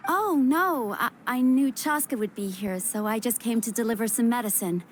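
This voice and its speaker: A woman's voice: a little high, moderate pace, a little hiss or hum, natural voice. A woman playing a guarded but caring young healer in an anime-style game. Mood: hesitant. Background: game music.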